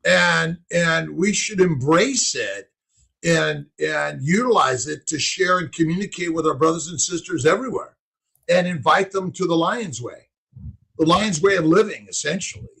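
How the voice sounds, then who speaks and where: Man, host, high pitch, raspy, emphatic, preachy. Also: animated, moderate pace, fairly bright tone. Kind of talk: casual conversation.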